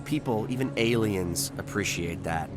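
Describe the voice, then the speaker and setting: A man's voice: fairly low pitch, normal speed, music in the background, natural voice. An actor playing an eager, starstruck young policeman in a video game, chatty and deferential with boyish enthusiasm. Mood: chatty, enthusiastic. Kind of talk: natural video game acting.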